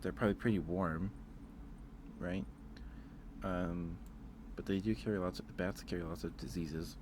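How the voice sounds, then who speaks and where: A man's voice: deep voice, fairly even intonation, unhurried, noisy background. A man chatting casually on an ASMR livestream, rambling hesitantly and repeating his phrases, close to the microphone.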